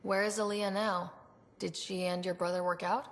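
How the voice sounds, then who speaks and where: A woman's voice: fairly low pitch, little pitch movement, moderate pace, a little hiss or hum, close to an everyday voice. An actress playing a young, supportive space explorer in a video game, casual and curious, with reverb and music around her. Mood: gentle, interested. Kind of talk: video game cutscene acting. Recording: reverberant hall, music under voice.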